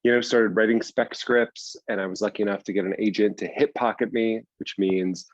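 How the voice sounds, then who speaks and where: Low, muffled male podcast guest. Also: steady tone, brisk, no room sound. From US East Coast. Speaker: guest, TV writer and teacher. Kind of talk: casual interview chat.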